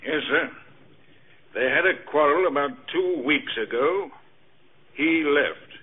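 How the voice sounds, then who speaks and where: A tinny old radio recording carries a dutiful police sergeant type. His voice is rough and husky, mid-pitched, reporting facts in crime drama style. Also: takes it slowly. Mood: dutiful, factual. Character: dutiful male police assistant reporting to inspector. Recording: thin band-limited old radio recording.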